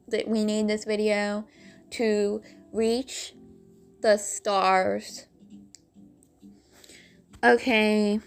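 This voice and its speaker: A woman with a raspy voice telling a rambling storytime, full of "like" fillers, over game footage. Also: a little high, a bit bright. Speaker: vlogger.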